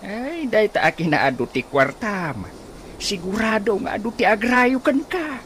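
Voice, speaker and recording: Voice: medium pitch, big pitch swings, unhurried. An actor playing a nosy, meddling relative with an Ilocano accent in a radio comedy drama. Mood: prying. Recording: loud background noise, birds and insects.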